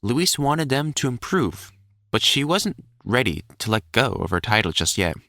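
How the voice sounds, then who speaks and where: A man reading a whimsical storybook as narrator, in an expressive, measured storytelling manner. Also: medium pitch, no room sound, studio quality.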